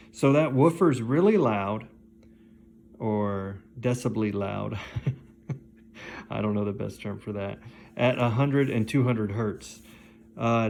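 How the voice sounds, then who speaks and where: A man's voice: mid-range voice, a little mellow, slight background noise. A man giving a casual, off-the-cuff video walkthrough, hesitant and correcting himself as he thinks aloud. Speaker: host of a DIY audio repair channel.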